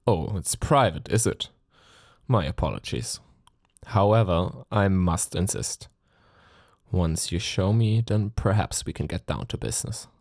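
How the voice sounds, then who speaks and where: A man performs a suave, cruel vampire lord for an intimate ASMR roleplay. His voice is low and creaky, recorded close and dry. He speaks with theatrical, condescending courtesy, seductive and mocking as he lingers over his phrases. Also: lively intonation, measured pace, a little mellow, no echo, heavily acted. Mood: curious, mocking.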